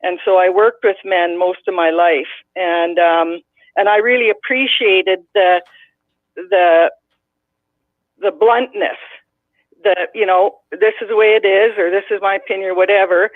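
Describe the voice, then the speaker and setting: A woman's voice: mid-range voice, steady tone, a little slow, dry recording. A middle-aged woman from Canada calling in, telling a long, blunt, rambling story with many restarts. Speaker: caller. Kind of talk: casual call-in storytelling. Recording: dry tinny phone line.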